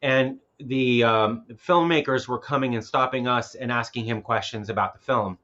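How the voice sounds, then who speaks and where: A man's voice: mid-range voice, normal speed, fairly bright tone, lo-fi sound. A man speaking casually as a filmmaker and festival organizer on a panel, rambling and often repeating words as he goes.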